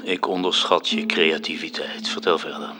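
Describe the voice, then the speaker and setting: Deep, sharp-voiced heavenly overseer. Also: male voice, level tone, moderate pace, soft background music. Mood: skeptical, grudging. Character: skeptical, inquisitive male heavenly cherub overseer. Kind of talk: radio drama acting.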